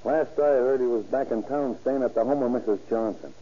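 Man playing a dark-voiced, grudging small-time crook. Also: mid-range voice, a little fast, lightly acted. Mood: grudging, defensive. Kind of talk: 1930s radio crime drama acting. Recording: muffled 1930s radio recording.

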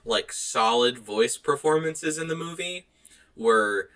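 Bright-voiced, blunt man chatting casually. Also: medium pitch, lively intonation, slow. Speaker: podcast co-host. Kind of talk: casual chat about movies.

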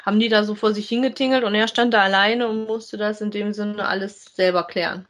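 A woman with a medium-pitched voice chats as a podcast co-host. She gives her opinions in plain, colloquial language and comes across as agreeable and conversational. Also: fairly even intonation, a little fast, a bit bright. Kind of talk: casual review chat about an audio drama.

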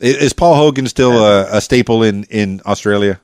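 Casting: American male podcast host, lowish voice, rambling and jokey, casual interview delivery, close dry sound. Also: normal speed, darkish tone, clean tone, no room sound. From United States.

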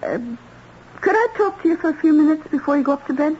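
A woman's voice: high voice, quick pace, close to an everyday voice. An actress playing an anxious but stubborn woman in a vintage radio drama, earnest and questioning. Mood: defiant. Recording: dull, hissy old radio recording.

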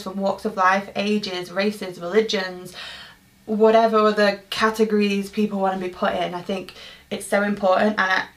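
A woman's voice: mid-range voice, low-quality recording. A young woman from Manchester, hosting a podcast and answering listener questions in a casual, earnest monologue with frequent ums and restarts. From Manchester, England. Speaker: podcast host.

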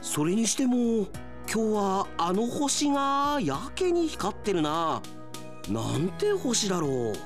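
A man acting a brash, aggrieved high school boy in a melodramatic, exclamatory radio drama style. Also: big pitch swings, slow pace, faint music behind, heavily acted. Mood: curious, alarmed.

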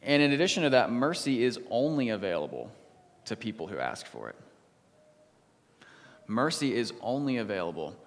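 A pastor reads a psalm in a measured, solemn voice, then prays and preaches a sermon to his congregation. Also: mid-range voice, big pitch swings, in a large hall. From United States. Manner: measured, solemn reading, livelier when telling stories. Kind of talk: sermon with scripture reading and prayer.

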